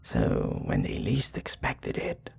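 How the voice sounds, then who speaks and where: A man playing a remorseful, flirty vampire bartender in an intimate ASMR roleplay, soft-spoken and hesitant, with a breathy, husky voice. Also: takes it slowly, soft background music, lightly acted. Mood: regretful, confiding. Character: remorseful, flirty male vampire bartender confessing his past.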